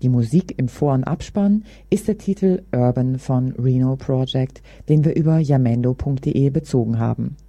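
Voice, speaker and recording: A woman's voice: rumbling low, normal speed, hardly any pauses, warm tone, no room sound. A woman presenting a radio programme, reading scripted announcements in a studio.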